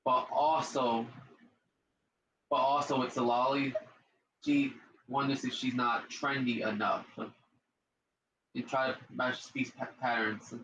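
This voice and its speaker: Deep-voiced guy streaming a game, rambly and slangy, echoey room, kinda muffled. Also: little pitch movement, slow pace, pauses often, a bit bright, a little hiss or hum. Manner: rambling, repeats himself, slangy. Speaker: gaming streamer. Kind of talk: casual let's-play commentary.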